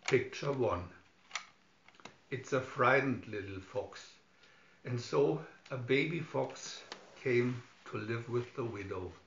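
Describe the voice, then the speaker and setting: A man's voice: medium pitch, slow, fairly bright tone, slight background noise. A man narrating a scripted children's story as a storybook reader. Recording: dull lo-fi recording, roomy echo.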